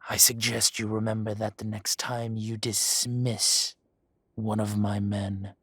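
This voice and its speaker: A husky, unhurried dragon crime boss, as a man acts him out lets each threat drip with mocking calm. His voice is close and dry, with no room around it, and every word lands slowly. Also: on the low side, dry recording. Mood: cold threat. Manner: slow, purring, mocking menace. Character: menacing male dragon posing as a mafia boss. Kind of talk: roleplay audio drama.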